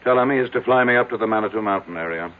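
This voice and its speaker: An actor playing a domineering, skeptical business tycoon in a radio drama, curt, formal and commanding. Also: male voice, lowish voice, racing, lightly acted. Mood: brisk, commanding. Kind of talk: 1970s radio drama acting. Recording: tinny band-limited old radio, faint hiss.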